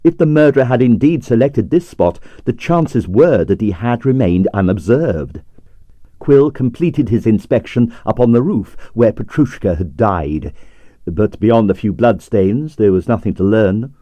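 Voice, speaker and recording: A man's voice: on the low side, a little slow, no room sound. A man reading a novel aloud as an audiobook, telling it expressively. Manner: expressive, lively storytelling. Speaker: audiobook reader. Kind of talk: scripted audiobook narration.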